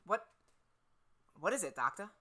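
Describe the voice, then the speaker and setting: An actor playing a timid, dim, obedient laboratory assistant in an old-style horror radio drama, hesitant and stammering. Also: male voice, high-pitched, big pitch swings, a little slow, bright, forceful tone, a little hiss or hum, full character voice. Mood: flustered, puzzled.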